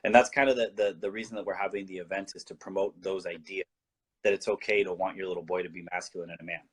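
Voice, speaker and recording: A man's voice: deep voice, steady tone, fast, husky. A man from Colombia, a guest in a casual talk-show discussion, explaining his views earnestly and often restarting his sentences.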